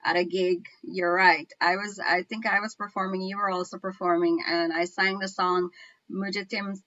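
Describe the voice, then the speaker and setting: A woman's voice: lowish voice, flat delivery, moderate pace, pushed and bright, no room sound, dull audio. A woman hosting a casual online chat, introducing guests and chatting in a friendly way over a video call. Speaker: show host.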